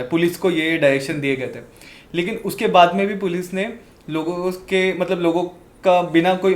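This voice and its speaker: A man hosting a podcast, putting long, roundabout questions conversationally with English terms mixed in. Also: a little high. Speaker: podcast host. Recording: echoey, roomy sound.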